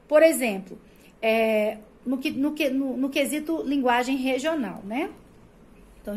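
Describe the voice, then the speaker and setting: A woman's voice: sits high, darkish tone. A woman from Brazil with a Brazilian Portuguese accent that pulls the R, giving a recorded prep-course lecture in an explanatory way, full of rhetorical questions and "né?" tags. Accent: Brazilian Portuguese, rolled R of the interior. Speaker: Portuguese teacher.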